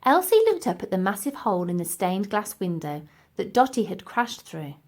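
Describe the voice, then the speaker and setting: A woman's voice: medium pitch, sing-song, moderate pace. A woman narrating a children's storybook expressively as its reader.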